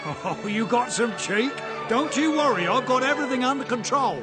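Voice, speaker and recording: A man voicing a cocky, boisterous showman in a comic animated game. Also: very high-pitched, moderate pace. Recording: loud music behind.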